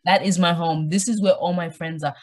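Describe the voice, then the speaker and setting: A woman's voice: on the low side, fairly quick. A young woman from Australia, born in Zimbabwe, talking casually as a podcast guest and acting out bits of dialogue with colloquial slang. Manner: animated storytelling, acts out imagined dialogue, colloquial slang. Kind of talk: casual conversational interview.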